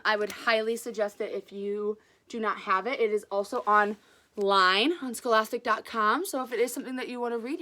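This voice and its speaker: A woman reading aloud as a storyteller, casual and chatty, with an enthusiastic, expressive delivery. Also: a little high.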